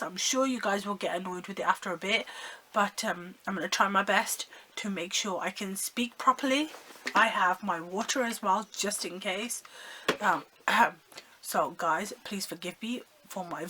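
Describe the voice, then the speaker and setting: A young woman, hoarse and croaky from a sore throat, chatting excitedly to her viewers while unboxing makeup. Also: mid-range voice, a little hiss or hum. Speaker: beauty vlogger. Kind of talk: casual makeup unboxing vlog.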